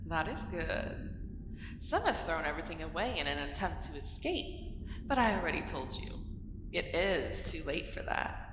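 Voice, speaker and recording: A woman voicing an ancient, haughty, bored female dragon who taunts with theatrical menace and drawn-out words in an ASMR roleplay, with cavernous reverb. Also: low-pitched, very animated. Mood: playful, bored superiority. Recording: cavernous reverb, noisy cave ambience.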